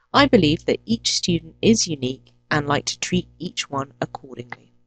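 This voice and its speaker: Low-voiced English woman teacher. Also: low-quality recording. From England. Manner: clear, deliberate, lists items one by one. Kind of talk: self-introduction to a teaching channel.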